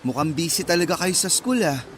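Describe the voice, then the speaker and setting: Actor as a high-voiced, eager young Filipino suitor. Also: male voice, lively intonation, fairly quick, soft background music, lightly acted. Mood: friendly. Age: young adult. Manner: friendly, modest, deferential. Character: polite, eager nineteen-year-old working-class suitor. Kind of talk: Tagalog radio drama acting.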